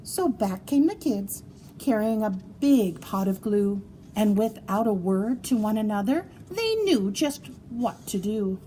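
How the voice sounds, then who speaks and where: A woman reading a children's story aloud in an expressive, playful way, with dramatic emphasis and drawn-out words. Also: medium pitch, slight background noise, low-quality recording. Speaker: storybook reader.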